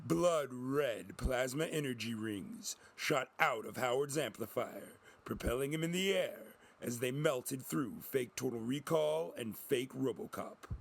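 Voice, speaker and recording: A man's voice: medium pitch, animated, measured pace, gravelly, few pauses, a little hiss or hum. A man reading scripted dramatic narration as a storyteller.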